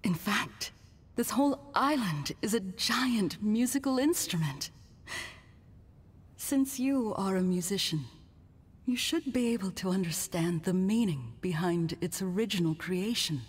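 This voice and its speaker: A woman playing a serene, gentle young woman in a video game, speaking softly and poetically with a breathy voice. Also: mid-range voice, lively intonation, measured pace. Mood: calm, assured. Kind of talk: video game character acting. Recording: long reverb.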